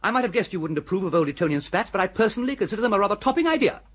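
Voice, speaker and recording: An actor playing a flustered, foppish young English gentleman in a radio comedy, plummy, stammering and bumbling, with an upper-class accent. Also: sits high, animated, very fast, husky. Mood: defiant. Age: young adult. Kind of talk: radio comedy drama acting.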